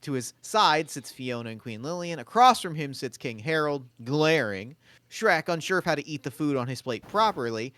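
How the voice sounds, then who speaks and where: A man's voice: a little high, animated, normal speed, fairly bright tone. A man narrating scripted stage directions at a comedic table read.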